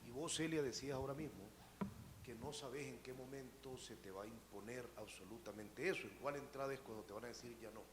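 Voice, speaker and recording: A man's voice: mid-range voice, a little fast. A man from El Salvador, earnestly asking the panel a question. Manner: earnest, uses vos. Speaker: audience questioner. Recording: reverberant hall with faint noise.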